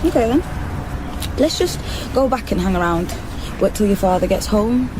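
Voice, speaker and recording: An actress playing an easygoing live-in nanny in a naturalistic radio drama, informal, coaxing and playful. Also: female voice, mid-range voice, animated, normal speed, lightly acted. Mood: light, coaxing. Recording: noisy outdoor traffic ambience. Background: vehicles, outdoor ambience.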